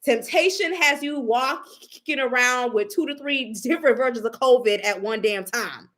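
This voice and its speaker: A woman's voice: fairly high pitch, normal speed, fairly bright tone. A woman giving a casual psychic tarot reading, brash and profane, with a sassy, emphatic way of talking. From Haitian family. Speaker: psychic reader.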